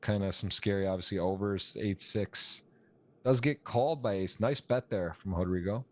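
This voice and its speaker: An American man calling a live poker stream, rambling and repeating words, and getting excited on big hands. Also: lowish voice, normal speed. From United States. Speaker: poker commentator and stream host. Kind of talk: live play-by-play commentary.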